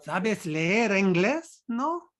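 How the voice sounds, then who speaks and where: Woman playing a cheeky, lively servant. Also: medium pitch, drawn-out words. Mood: pleading. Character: Chucho, cheeky bilingual servant man, slangy and mocking. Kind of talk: table read of a play. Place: online video call.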